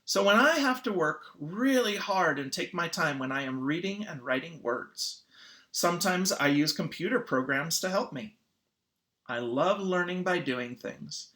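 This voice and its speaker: Someone reading a children's picture book aloud in an expressive, teacherly way. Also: mid-range voice. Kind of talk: children's read-aloud narration.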